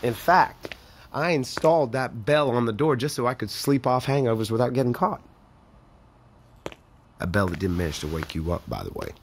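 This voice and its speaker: A man's voice: mid-range voice, sing-song, normal speed, slight background noise. A man playing a breezy, needling small-town store owner in a naturalistic audio drama, folksy and amused. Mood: teasing, relaxed. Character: breezy, teasing small-town male store owner, older than his employee.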